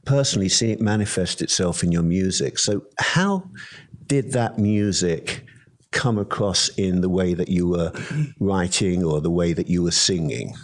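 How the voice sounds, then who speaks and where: A man's voice: low-pitched, lively intonation, normal speed. A man hosting a radio interview who asks questions with long setups.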